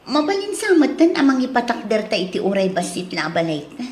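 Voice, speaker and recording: Actress as high-voiced, headstrong young wife. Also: female voice, huge pitch swings, a little fast. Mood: insistent, annoyed. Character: young, headstrong student wife, dutiful yet insistent. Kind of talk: Ilocano audio soap drama acting. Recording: roomy, echoing studio sound.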